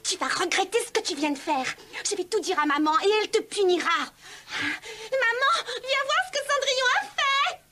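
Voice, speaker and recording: An extremely high, whiny cartoon stepsister, spoiled and spiteful. She bosses and bullies like a bratty girl used to getting her way. Music runs underneath. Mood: whiny, outraged. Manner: bossy, whining, drawn-out calls. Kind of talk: dubbed cartoon acting.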